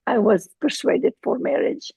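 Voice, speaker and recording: Gravelly middle-aged woman, Lebanese accent. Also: high-pitched, a little slow, a little mellow, dry recording. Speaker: interview guest. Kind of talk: personal storytelling in an interview.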